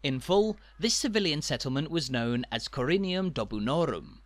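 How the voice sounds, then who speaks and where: A man narrating a walking tour in a measured, informative way. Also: a little high, expressive, no room sound. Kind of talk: scripted narration.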